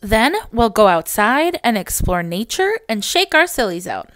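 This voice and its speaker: A woman voicing a kind, upbeat preschool teacher from a picture book, gently encouraging in a sing-song manner. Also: on the high side, very animated, unhurried, no echo, clean studio sound, soft background music, pitched below the performer's own voice. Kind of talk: picture book character reading.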